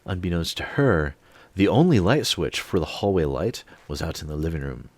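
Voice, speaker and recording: Deep-voiced man telling a story. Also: normal speed, dry recording. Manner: animated storyteller, swears freely. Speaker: channel host. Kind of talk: storytime monologue.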